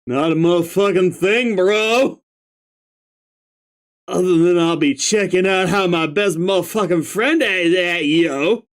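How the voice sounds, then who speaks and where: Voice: medium pitch, unhurried, close to an everyday voice. A reader voicing a young male stoner clown troll with a slurred, clownish drawl who swears lazily and marvels at everything. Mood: lazy awe. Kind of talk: reading a webcomic aloud with voices.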